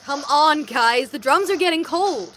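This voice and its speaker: Bright, high-pitched, eager young woman, acted out. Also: expressive, a little slow, slight background noise. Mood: excited. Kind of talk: radio drama acting.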